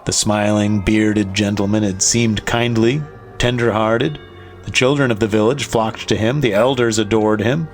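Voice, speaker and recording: A man with a deep-leaning, fry-edged voice narrates scripted horror. He is deliberate and dramatic, with faint music behind him. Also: steady tone. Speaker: story narrator.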